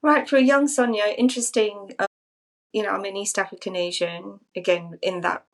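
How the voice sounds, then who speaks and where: A woman's voice: medium pitch, fairly even intonation, normal speed. A woman in her fifties, from Zambia, telling her life story as a podcast guest in a thoughtful, musing way that often repeats phrases. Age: 50s. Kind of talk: reflective personal storytelling.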